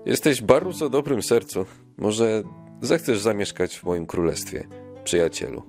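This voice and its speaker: A man acts as a brave and kindly king in a children's audio play. The voice is deep and fatherly, with light music underneath. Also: very animated, measured pace, a little mellow. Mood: warm, amused. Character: brave, kind-hearted king and loving father.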